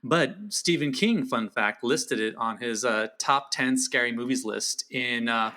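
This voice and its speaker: Bright-voiced man, thoughtful film talk. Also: mid-range voice, measured pace. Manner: thoughtful, reflective, searching for words. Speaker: guest film critic. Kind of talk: casual film discussion.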